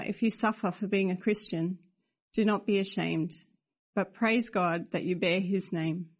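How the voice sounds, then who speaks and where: Woman reading scripture aloud, measured. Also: mid-range voice. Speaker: scripture reader. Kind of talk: Bible reading.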